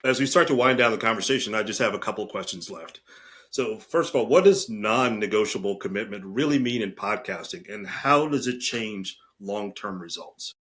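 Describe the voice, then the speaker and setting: A man's voice: lowish voice, normal speed, a bit bright. A man hosting a podcast interview, putting prepared questions to his guest.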